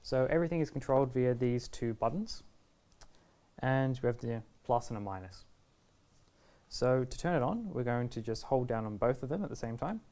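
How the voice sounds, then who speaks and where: A young man presents a how-to guide in a casual voice. He explains each step in an easygoing, reassuring manner, at a medium pitch. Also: lively intonation, moderate pace, warm tone. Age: 20s. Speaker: product reviewer and presenter. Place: indoor shop video studio, standing at a table.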